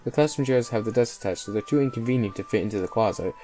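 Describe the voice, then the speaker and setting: A man's voice: mid-range voice, very fast, dark tone. A man reading visual novel narration aloud as host of a gameplay video. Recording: voice over faint game music. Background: faint music.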